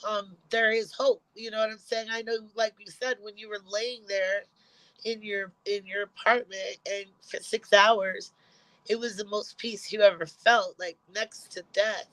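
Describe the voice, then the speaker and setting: A woman hosting a casual interview, encouraging her guest in a relaxed, plain-spoken way. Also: mid-range voice, measured pace, no room sound, low-quality recording. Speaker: podcast host.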